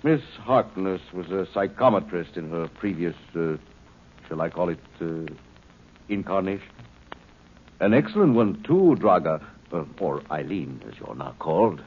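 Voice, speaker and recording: An actor playing a cold, superior alien being in a human body, speaking precisely and with detachment, in an old 1950s radio drama. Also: male voice, deep voice, lively intonation, normal speed. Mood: detached. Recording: dull old radio broadcast with faint hiss.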